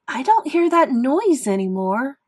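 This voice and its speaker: A woman's voice: high-pitched, sing-song, measured pace, darkish tone, voice pushed up. A woman voicing a happy, earnest young boy in a storybook read-aloud. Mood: happy, relieved. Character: young boy with hearing aids, happy, polite.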